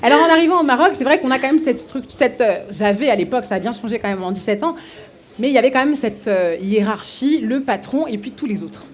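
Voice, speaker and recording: A woman's voice: on the high side, expressive, fast talker. A woman from DR Congo moderating a live workshop, asking the audience questions and sharing her own experience. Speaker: workshop facilitator.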